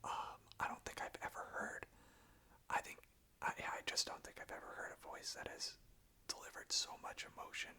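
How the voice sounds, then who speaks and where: An ASMR host speaking informally in a breathy, unvoiced whisper, with a soft, gentle and hesitant delivery. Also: normal speed.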